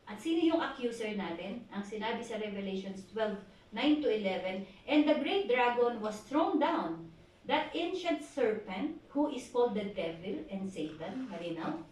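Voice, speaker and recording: A woman pastor with a Filipino accent preaching at a prayer meeting, asking the congregation questions and reading scripture slowly. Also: on the high side, lively intonation, a little mellow. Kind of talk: sermon exhortation at a prayer meeting. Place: church hall. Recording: echoey hall from far off, faint noise.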